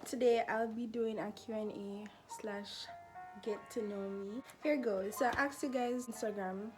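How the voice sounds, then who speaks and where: A woman's voice: fairly high pitch, fairly even intonation, moderate pace, a little mellow, soft background music. A nineteen-year-old woman from Jamaica with a Jamaican accent, chatting casually in a Q&A vlog, laughing often and filling with "you know" and "like". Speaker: vlogger.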